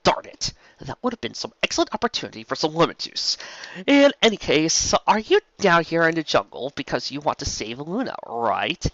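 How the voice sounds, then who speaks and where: A man's voice: fairly high pitch, sing-song, normal speed, fairly bright tone, dry recording, dull audio, pitched above the performer's own voice. A man voicing a mocking, serpentine chaos spirit with a sarcastic, drawling, theatrical delivery in a fantasy story. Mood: gleeful, theatrical.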